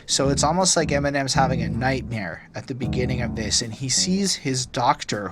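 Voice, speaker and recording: Analytical male podcaster over music. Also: mid-range voice. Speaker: podcast co-host reviewing music. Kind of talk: casual opinionated album discussion. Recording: music playing behind the voice.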